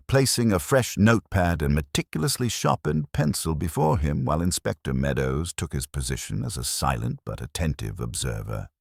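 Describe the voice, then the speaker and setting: Male audiobook narrator for mystery fiction: extremely deep, dry studio sound, formal literary delivery. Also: vocal fry, no room sound. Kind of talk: scripted mystery story narration.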